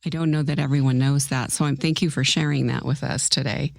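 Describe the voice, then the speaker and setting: Woman, podcast co-host, very low voice, chatty and affirming, dry sound. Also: fairly even intonation, a little fast, creaky, no echo. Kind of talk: casual interview chat.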